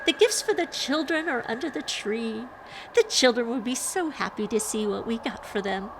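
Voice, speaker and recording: A woman's voice: fairly high pitch, moderate pace, noisy background, pitched above the performer's own voice, lightly acted. Woman playing a prim young lady, staged cheer.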